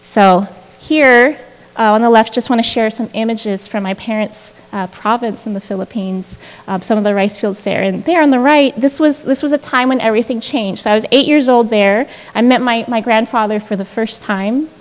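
A woman's voice: a little high, fairly even intonation, moderate pace. A woman in her thirties giving an earnest, reflective acceptance speech at a podium, with frequent restarts and fillers. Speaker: prize winner giving a speech. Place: large indoor event hall, at a podium. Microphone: podium microphone on a stand. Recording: long reverb of a large hall.

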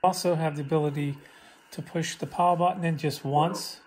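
A man with a slightly high voice walks through a gadget in a casual, hands-on way. Clear radio noise runs behind him as he demonstrates like a hobbyist. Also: fairly even intonation, a little fast. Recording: noisy, radio static in room. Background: shortwave radio static and chatter.

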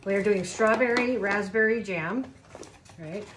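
Off-camera woman, mid-pitch, coaching gently; phone audio in a roomy kitchen with steady clatter. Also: unhurried. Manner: patient, coaching and explaining step by step. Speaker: family member filming and guiding a child cook. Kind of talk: casual instructional home cooking commentary. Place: home kitchen, indoors. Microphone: phone camera held by the speaker off screen. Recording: echoey kitchen with clear background noise.

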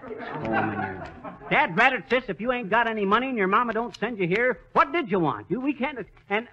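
An actor playing a blustery, bumbling know-it-all shopkeeper in folksy 1930s radio comedy style, mangling big words. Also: male voice, high-pitched, very animated, brisk, some chatter behind. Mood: exasperated. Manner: folksy slang, malapropisms, exasperated bluster. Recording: muffled 1930s radio recording.

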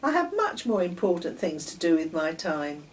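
A woman's voice: fairly low pitch, big pitch swings, moderate pace. A woman voicing a defensive, self-important young boy in a children's story, protesting emphatically. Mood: defensive annoyance. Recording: distant mic, noticeable background noise.

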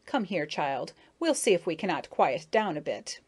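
A woman's voice: fairly low pitch, big pitch swings, normal speed, husky. A woman voicing a strict old female bee teacher in a storybook, lecturing in an exasperated way. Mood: scolding. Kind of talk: children's storybook character reading.

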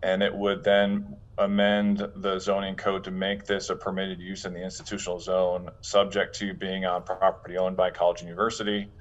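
A man's voice: deep voice, completely flat delivery, normal speed, hardly any pauses. A man presenting a zoning proposal to a planning board in a plain, businesslike way. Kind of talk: presenting a proposal at a public meeting. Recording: dull lo-fi video call audio.

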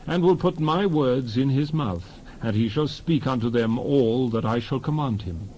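Solemn male Bible narrator, animated intonation. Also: mid-range voice, slight background noise, poor audio. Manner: solemn, declamatory, measured phrasing. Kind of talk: dramatized scripture reading.

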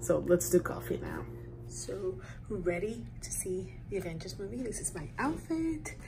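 A woman in her forties chatting casually for a vlog, pausing often between short phrases, recorded on a phone indoors at home. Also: mid-range voice, very animated. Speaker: vlogger, host. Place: small rooms at home, indoors. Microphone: phone at arm's length. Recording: noticeable background room noise.

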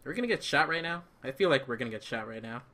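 A man with a bright, forceful voice talks through a co-op video game. He speaks casually, with a joking, rambling manner, like a player talking to a teammate. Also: medium pitch, expressive, rapid-fire. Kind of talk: casual co-op gameplay chat.

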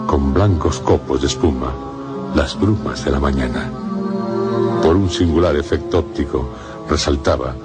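A man with a Castilian Spanish accent narrating a literary radio drama in solemn, measured phrases. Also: extremely low pitch. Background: music.

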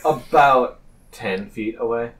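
A man improvising narration as game master of a tabletop game, speaking haltingly. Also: mid-range voice. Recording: distant mic, faint noise.

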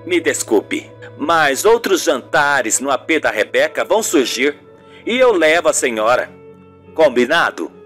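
Young journalist son, played by a man, defensive, exasperated, animated intonation, radio soap. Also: on the high side, unhurried, faint music behind, voice pushed down. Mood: placating. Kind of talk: amateur radio soap acting.

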